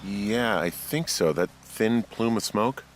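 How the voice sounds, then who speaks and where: An observant, understated man in a wilderness game. His voice is on the low side, with slight ambient noise behind it. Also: slow pace. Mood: curious. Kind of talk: naturalistic video game voice acting.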